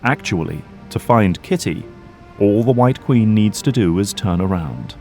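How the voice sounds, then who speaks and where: Male narrator for audio drama: somewhat deep voice, scripted dramatic storytelling, soft music underneath. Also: animated, measured pace.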